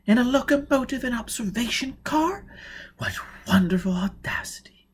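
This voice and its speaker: A man voicing a sheltered, excitable young upper-class woman in an audiobook, breathless and dramatic. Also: very high-pitched, moderate pace, slightly rough, pitched above the performer's own voice, full character voice. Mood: breathless excitement. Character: sheltered, excitable rich young blind daughter, enthralled by danger.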